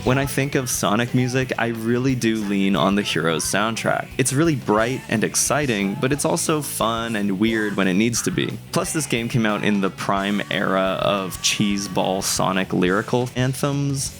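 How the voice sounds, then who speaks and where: A young man with a fairly low voice narrates a video essay. He is conversational and casually enthusiastic, dropping in casual asides. Guitar music plays softly behind him. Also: moderate pace, hardly any pauses.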